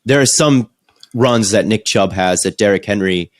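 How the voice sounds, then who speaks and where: A man's voice: lowish voice, normal speed, dry recording. A young man co-hosting a sports podcast while under the weather, breaking down plays with enthusiasm. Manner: enthusiastic, animated analysis. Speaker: podcast co-host. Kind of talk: casual sports podcast chat.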